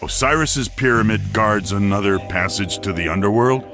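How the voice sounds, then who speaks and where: A man's voice: on the low side, normal speed, a bit bright, natural voice. A man playing a blunt, brawny warrior in a video game cutscene. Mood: skeptical. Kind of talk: scripted game cutscene dialogue. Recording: music behind the voice.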